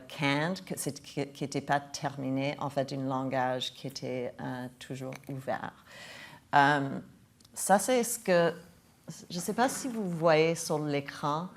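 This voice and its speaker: Low-voiced woman hosting a bilingual talk. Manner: hesitant, many restarts, switches between French and English. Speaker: host of a public talk. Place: museum hall before an audience.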